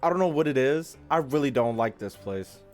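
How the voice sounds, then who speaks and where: A woman's voice: extremely low pitch, lively intonation, fairly quick, a little mellow. A woman doing casual, irreverent reaction commentary as a gaming streamer, full of slangy asides. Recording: game music behind.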